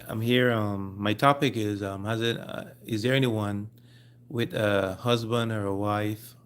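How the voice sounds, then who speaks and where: Low-pitched gravelly Jamaican man. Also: slight background noise. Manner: halting, repeats phrases. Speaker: livestream host. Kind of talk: casual live-stream chat.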